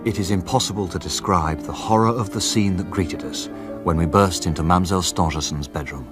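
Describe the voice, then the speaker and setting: An actor playing an anxious, genteel gentleman narrating a mystery in formal, literary language as radio drama. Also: low-pitched, brisk, over loud music, natural voice. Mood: horrified.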